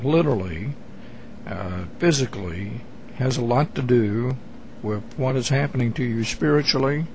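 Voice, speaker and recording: Gravelly-voiced stammering male interviewer. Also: medium pitch, moderate pace, a little hiss or hum. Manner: hesitant, stammering questions. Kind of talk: interview questions.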